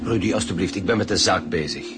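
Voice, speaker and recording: An actor playing a dry, sceptical, easily irritated senior police detective with a Flemish accent and a curt, measured delivery in a radio drama. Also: male voice, low-pitched, music in the background, close to an everyday voice. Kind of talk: naturalistic radio drama acting.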